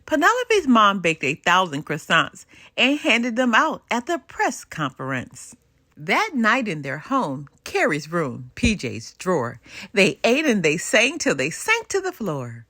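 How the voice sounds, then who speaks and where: Slow, animated older woman reading aloud. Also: mid-range voice, no echo. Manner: animated, unhurried sing-song rhyming delivery. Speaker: storybook reader. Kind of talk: expressive children's read-aloud.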